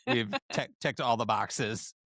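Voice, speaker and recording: A woman with a low voice banters casually as a podcast co-host. She is playful and quick with quips. The recording is dry, with no room sound. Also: gravelly, a bit bright, dry recording. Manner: playful, quippy.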